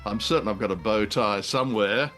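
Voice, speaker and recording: A man's voice: lowish voice, brisk, fairly bright tone, faint music behind. A man co-hosting a show, chatting about a classic film in a hesitant, musing way with a playful, self-deprecating streak. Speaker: podcast co-host. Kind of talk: casual discussion of a classic film.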